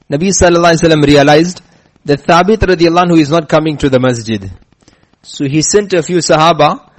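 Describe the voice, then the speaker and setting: A man giving a religious lecture who tells a story and slows down to stress his points. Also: fairly high pitch, low-quality recording. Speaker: preacher. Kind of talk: religious lecture with chanted scripture.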